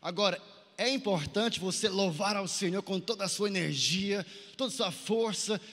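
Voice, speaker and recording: High, bright-voiced Brazilian male preacher in hall. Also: normal speed. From Santarém, Pará, northern Brazil. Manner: exhorting, asks listeners to repeat phrases and respond. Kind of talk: sermon at a youth conference. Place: large indoor conference hall, amplified. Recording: echoey large hall with long reverb.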